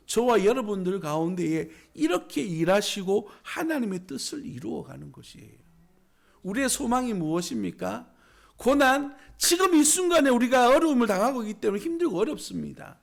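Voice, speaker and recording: A male pastor preaching a sermon in a small church, hoarse from a cold, with emphatic rising exclamations. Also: extremely high pitch.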